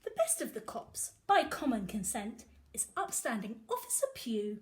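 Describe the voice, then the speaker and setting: A woman teacher reading a picture book aloud to young children, with animated, sing-song emphasis. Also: on the high side, normal speed. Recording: echoey room.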